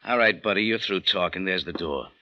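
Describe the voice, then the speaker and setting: A man's voice: deep voice, fairly quick, noisy background, close to an everyday voice. A man with an American accent playing a wry, hard-boiled cafe owner who narrates an old-time radio detective drama. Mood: tough. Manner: sardonic, tough, wisecracking. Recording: muffled old radio recording, music behind.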